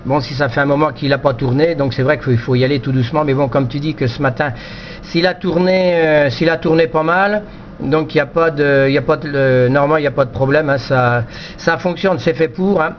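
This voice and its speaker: A man's voice: on the high side, steady tone, fast talker. A man operating an amateur radio, explaining technical things casually in choppy, repeated phrases. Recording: slightly dull, faintly noisy radio chat recording.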